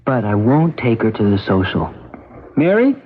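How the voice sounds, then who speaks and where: A man's voice: medium pitch, big pitch swings, moderate pace, slightly rough. A young man playing a blunt but protective farm lad, somebody's brother, in a radio drama. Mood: coaxing. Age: young adult. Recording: tinny vintage radio broadcast.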